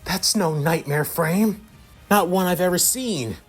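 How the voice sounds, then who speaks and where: A man's voice: mid-range voice, expressive, moderate pace, husky, soft background music. A man voicing a proud male noble knight who reacts with scoffing disbelief. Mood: stunned.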